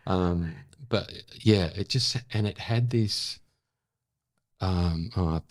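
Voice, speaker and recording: Deep male voice, middle-aged or older, dry close sound, reflective, casual interview guest. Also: slow pace, no room sound. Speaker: interview guest, photographer and former broadcaster.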